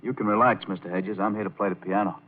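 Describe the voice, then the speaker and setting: Actor as a cool pianist, tinny old radio sound. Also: male voice, lowish voice, steady tone, quick pace, a little hiss or hum, natural voice. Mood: calm, deferential. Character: cool, self-assured male club pianist narrating a crime story. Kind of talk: old-time radio drama acting and first-person narration. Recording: tinny old radio recording with music behind.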